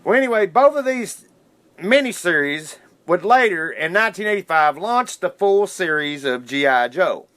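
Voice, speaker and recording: A man's voice: a little high, fairly bright tone. A man hosting a solo podcast, talking casually in an informal, loosely worded enthusiast's way. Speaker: podcast host. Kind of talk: casual solo podcast talk, retelling cartoon history.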